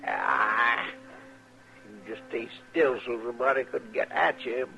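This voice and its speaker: An actor playing a folksy, excitable, deferential western sidekick with a rural American drawl, rambling and fussing in 1950s radio style. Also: male voice, medium pitch, unhurried, vocal fry, over music. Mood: flustered, fussing. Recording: noisy, crackly old radio recording.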